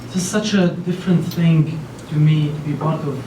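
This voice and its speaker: A man's voice: a little high, normal speed, mellow. A man in his thirties from Lebanon, speaking on a conference panel in an earnest, rambling way full of fillers and restarts. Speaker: panelist, company founder. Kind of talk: panel talk. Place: large conference room with an audience. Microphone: handheld mic. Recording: echoey room from far off, faint noise.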